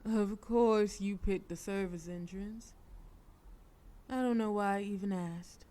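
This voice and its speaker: Brief: a woman doing a ruthless lady mob boss, mellow voice, teasing and commanding. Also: mid-range voice, steady tone, takes it slowly, natural voice. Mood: cocky, teasing. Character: cocky, flirtatious female mafia boss, ruthless planner. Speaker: audio roleplay performer. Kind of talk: scripted audio roleplay.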